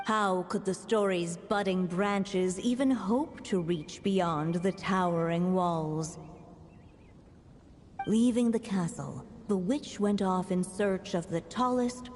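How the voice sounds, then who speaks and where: Woman doing a solemn storybook lady, real slow, big echoey reverb, mysterious fairy tale read. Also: medium pitch, darkish tone, lightly acted. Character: female storybook narrator, mysterious, solemn and unhurried. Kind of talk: scripted fairy-tale narration. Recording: long reverb, faint background noise.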